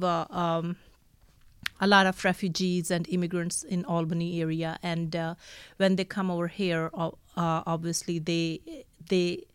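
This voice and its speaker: A woman from Pakistan with a Pakistani accent, explaining her nonprofit work in an interview in a hesitant way with frequent pauses. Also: on the low side, smooth, dry recording. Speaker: nonprofit staff member being interviewed.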